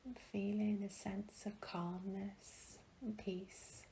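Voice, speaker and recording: Slow, hushed woman guiding a meditation. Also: mid-range voice, level tone, slight background noise, low-quality recording. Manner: gentle, hushed, breathy, long pauses. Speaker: meditation guide. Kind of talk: guided meditation, soothing and slow.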